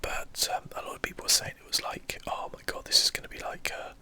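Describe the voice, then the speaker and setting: Southern English male, bright breathy whisper, relaxed chatty ASMR game host thinking aloud. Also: quick pace. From southern England, UK. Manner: casual, chatty, musing aloud. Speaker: host of an ASMR gaming channel.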